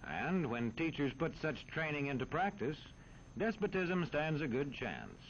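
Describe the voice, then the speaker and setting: A man reading scripted narration for a 1940s educational film in a formal, measured, emphatic way. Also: a little high, big pitch swings. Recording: muffled, noisy 1940s film soundtrack.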